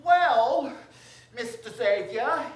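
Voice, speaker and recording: A woman's voice: fairly high pitch, lively intonation, extremely slow. A woman playing a nervous, eager married man who works in a store, stammering and deferential in a radio drama. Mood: eager, flustered. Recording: roomy stage recording.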